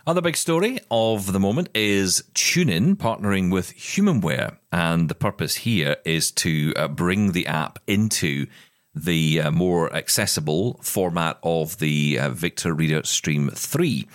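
A man's voice: sits low, expressive, a little slow, no room sound, clean studio sound. A British man co-hosting a podcast, chatting enthusiastically about tech news with frequent restarts. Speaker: podcast co-host. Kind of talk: casual tech news chat.

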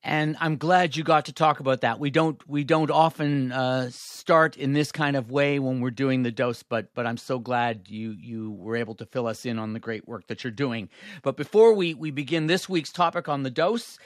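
A man's voice: medium pitch, moderate pace, no room sound, studio quality. A man hosting an interview, speaking in a friendly, conversational way. He is also an emergency physician. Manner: friendly, conversational, self-deprecating.

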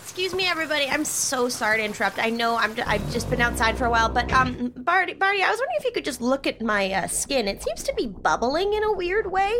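A woman playing a self-sacrificing, passive-aggressive scout in an improvised sci-fi comedy, halting and nervously over-polite. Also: high-pitched, very animated, over music. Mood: anxious. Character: put-upon female scout, self-sacrificing, passive-aggressive, on a space crew.

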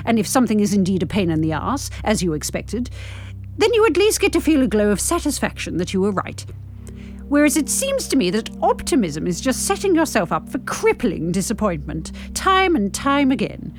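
A woman playing a grumpy, impatient, sardonic older woman, telling her story in the first person in a wry, expressive way. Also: mid-range voice, moderate pace, soft background music. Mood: wry, pessimistic.

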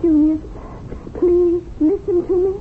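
An actress playing an earnest, idealistic young schoolteacher wife in a radio drama, gently pleading and moralizing. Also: female voice, very high-pitched, steady tone, slow pace. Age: young adult. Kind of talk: 1970s radio drama acting. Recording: tinny, noisy old radio broadcast.

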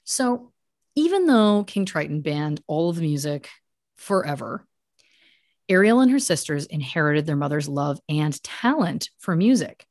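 Emphatic woman podcaster, low-voiced and close. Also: sing-song, measured pace, darkish tone, dry recording. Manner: emphatic, mock-serious, with asides. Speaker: podcast host telling a story. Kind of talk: conversational satirical true crime retelling.

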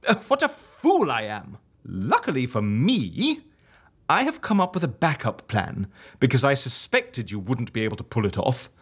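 An actor playing an excitable, sarcastic head of an agency in a comedy audio drama, talking theatrically and repeating words for effect. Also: male voice, medium pitch, moderate pace, husky, exaggerated voice. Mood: exasperated, sarcastic.

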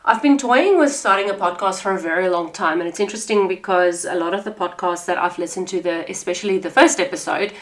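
A woman in her thirties with a South African accent, hosting a podcast in a chatty, conversational way with frequent fillers. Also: medium pitch. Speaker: podcast host. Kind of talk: casual podcast monologue.

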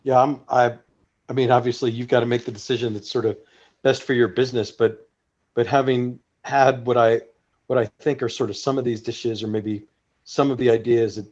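A man's voice: medium pitch, a little mellow. A man hosting a podcast interview, asking questions in a hedging way with frequent "sort of". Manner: hedging, says "sort of" often. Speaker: podcast host. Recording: dull lo-fi sound.

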